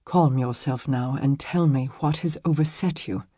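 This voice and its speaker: A woman's voice: low voice, animated, moderate pace, a little mellow, husky, no room sound, studio quality. A woman voicing a protective, earnest medieval nobleman in a historical romance audiobook, with formal, archaic phrasing. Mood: earnest, soothing.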